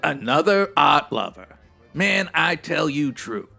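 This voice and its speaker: Raspy, excitable hipster club manager. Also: male voice, on the high side, slow pace, soft background music, full character voice. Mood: admiring, breezy. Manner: hipster slang with florid big words, theatrical. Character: slangy, wordy, self-taught strip club manager, breezy hustler. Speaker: actor in a comedy audio drama. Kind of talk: comedic old-time radio detective acting.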